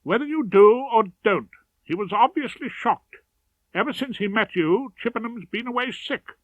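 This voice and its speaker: A man voicing a stern, accusing young girl leading schoolchildren, brisk and officious. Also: extremely high pitch, lively intonation, dry recording, voice pushed up. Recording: narrow, radio-like audiobook sound.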